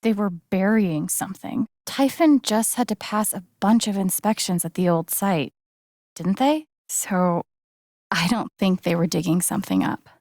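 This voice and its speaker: A woman's voice: mid-range voice, unhurried, a little mellow, no echo, studio quality, lightly acted. An actress playing an earnest, caring and determined young woman in naturalistic, conversational video game dialogue. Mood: urgent, intent. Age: young adult.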